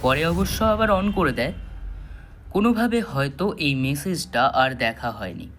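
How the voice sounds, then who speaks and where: A man narrating a scripted thriller story with measured, dramatic phrasing and pauses. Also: fairly high pitch, lively intonation, fairly bright tone, a little hiss or hum. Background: background music.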